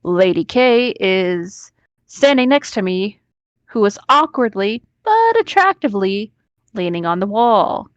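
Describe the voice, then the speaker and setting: A woman's voice: mid-range voice, very animated, unhurried, dry recording, lo-fi sound. A woman reading a story aloud as a co-host, informal and joking, with casual asides and free swearing.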